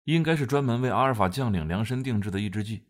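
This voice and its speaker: A man's voice: fairly low pitch, lively intonation, fast, natural voice. An actor playing a young, reserved male general who speaks tersely in a modern audio drama.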